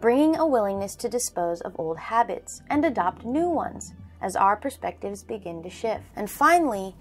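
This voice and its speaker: A young woman presenting scripted documentary narration in a measured, explanatory manner. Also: medium pitch, lively intonation, soft background music. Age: young adult.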